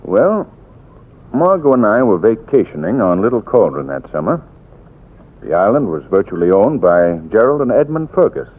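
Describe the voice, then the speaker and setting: A worldly gentleman storyteller in a vintage radio mystery, low and calm with expressive swings in pitch. The sound is narrow and tinny, like an old broadcast. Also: normal speed. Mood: measured storytelling. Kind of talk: 1940s radio drama acting. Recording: tinny 1940s radio recording.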